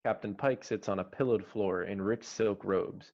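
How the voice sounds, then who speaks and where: A man's voice: lowish voice, moderate pace, gravelly, a little mellow. A man reading screenplay stage directions as narrator at an online table read. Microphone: video call. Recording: dull lo-fi online call audio.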